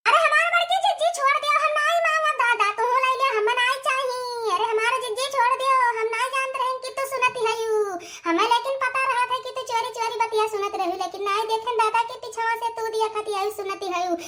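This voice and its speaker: A woman plays a young, scheming village daughter-in-law in a cartoon. Her voice is extremely high and piercing, with an Awadhi accent and a gossipy, emphatic delivery that keeps repeating phrases. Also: steady tone, a little fast, hardly any pauses, sharp tone. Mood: panicked, pleading.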